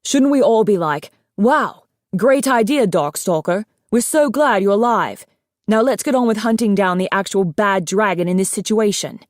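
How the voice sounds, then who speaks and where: A woman's voice: on the low side, moderate pace, hardly any pauses, a little mellow, no echo, clean studio sound, close to an everyday voice. A woman voicing a young male dragon in an audiobook, arrogant, brusque and sarcastic, with a defensive hot temper. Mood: indignant shouting.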